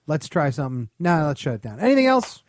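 A man's voice: fairly high pitch, big pitch swings, fast talker, no room sound, studio quality. An American man co-hosting a podcast, bantering casually with a sardonic, wisecracking manner. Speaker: podcast co-host.

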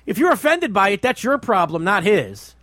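High-pitched male talk show host. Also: very animated, moderate pace, pushed and bright, dry recording, clean studio sound. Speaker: radio show host and comedy night host. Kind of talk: casual opinionated chat.

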